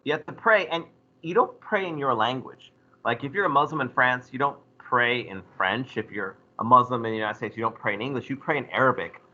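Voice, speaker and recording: A man teaching a history class, lecturing in a lively way and putting questions to his students. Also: mid-range voice, brisk, lo-fi sound. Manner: animated, asks the class questions.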